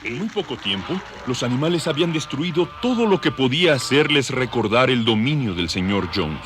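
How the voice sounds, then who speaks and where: A man's voice: medium pitch, sing-song, fairly quick, fairly bright tone, faint music behind. A man narrating a dramatized novel in a scripted storytelling style. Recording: farm animal noise behind.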